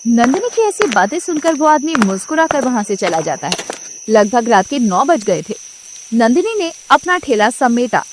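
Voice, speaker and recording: A woman narrating a storybook cartoon in an even, storytelling manner. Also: fairly high pitch, normal speed, background noise.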